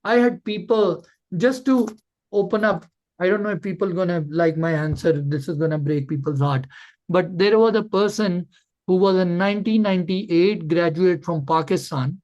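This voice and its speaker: A man's voice: high-pitched, moderate pace, darkish tone, dull audio. A man coaching callers in an informal online Q&A, blunt and emphatic, often repeating words to drive a point home. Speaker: coach hosting a Q&A session.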